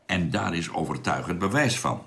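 A man acting a calm expedition doctor in a radio drama, speaking in a measured, unhurried way. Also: deep voice, gravelly, husky, exaggerated voice. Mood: thoughtful, interested. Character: calm male doctor, space expedition narrator and amateur archaeologist. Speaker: narrator and actor. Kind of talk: radio drama narration and dialogue.

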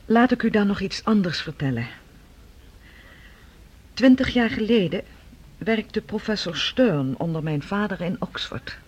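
An actress playing the earnest, formal adult daughter of a scientist in a radio drama, speaking persuasively. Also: female voice, medium pitch, expressive, unhurried, long pauses, darkish tone, slightly rough. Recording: dull, lo-fi radio recording.

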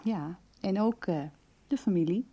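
Radio soap: calm, understanding female confidante and investigator, mellow tone, conversational storytelling. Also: mid-range voice, measured pace, husky. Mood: engaged, explanatory. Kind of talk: Dutch radio soap acting.

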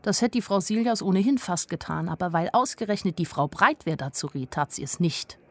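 A woman's voice: lowish voice, lively intonation, brisk. An actress playing a naive but observant young woman who tells her own story in a wry, plain-spoken radio drama narration. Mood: amused, observant.

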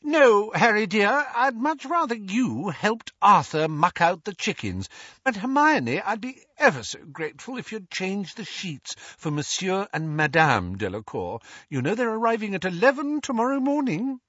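A man voicing a bossy, busy middle-aged mother who gives brisk orders. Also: sits high, big pitch swings, fairly bright tone, dry recording, voice pushed up, heavily acted. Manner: brisk, commanding. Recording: dry, dull lo-fi audio.

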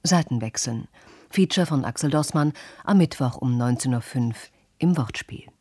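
Low, husky, mellow woman radio announcer. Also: no room sound, clean studio sound. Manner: measured, formal. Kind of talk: scripted announcement.

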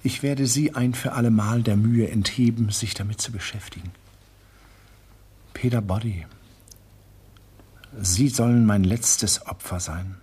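An actor playing a smooth, coolly menacing art collector who murders, in a radio drama. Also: male voice, lowish voice, steady tone, a little slow, pauses often, darkish tone. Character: suave murderous male art collector, villain.

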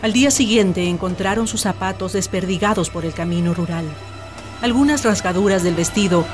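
A woman with a Chilean accent narrating a radio drama as a scripted legend, solemn and dramatic. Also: fairly low pitch. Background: music.

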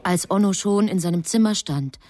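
A woman's voice: mid-range voice, moderate pace, dark tone, close to an everyday voice. A woman performing as the grave, composed narrator of a literary radio drama. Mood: measured, mysterious. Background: music.

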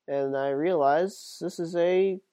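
A man's voice: on the high side, takes it slowly, mellow, smooth. A man hosting his own sports podcast while sick and sniffly, talking casually and rambling with plenty of fillers and restarts. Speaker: podcast host.

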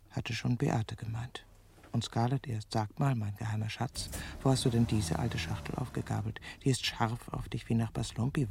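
An actress playing a bitter, lovesick middle-aged woman who has turned killer, speaking in a deliberate, sardonic, brooding way in a radio drama. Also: extremely low pitch, vocal fry, darkish tone, slight background noise, exaggerated voice. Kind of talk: radio drama acting with intimate inner monologue.

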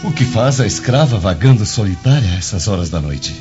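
An actor playing a smug, lecherous Roman chief of the guard in a radio drama, full of insinuation. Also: male voice, lowish voice, expressive, fairly quick, natural voice. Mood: smug, probing. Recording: muffled lo-fi radio drama, music underneath.

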